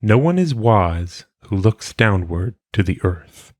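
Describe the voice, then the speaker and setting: Low-voiced man reading solemnly. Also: sing-song, slow pace, dry recording, clean studio sound. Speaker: reader. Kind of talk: solemn audiobook-style reading of philosophical maxims.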